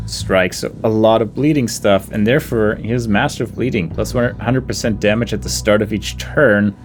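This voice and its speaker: Low-pitched, unhurried man commentating a game. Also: a little mellow. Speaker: video game player and commentator. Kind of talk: casual gameplay commentary, thinking aloud.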